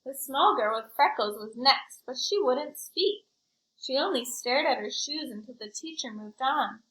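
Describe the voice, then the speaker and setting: A woman reading a storybook aloud in an expressive, child-directed way, with chatty asides to her young listeners. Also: sits high, unhurried, reverberant, low-quality recording. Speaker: school librarian reading aloud.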